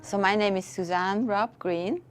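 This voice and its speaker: A woman from Switzerland who manages a museum's insect collections, explaining her work in a relaxed, matter-of-fact documentary interview. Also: mid-range voice, unhurried, soft background music.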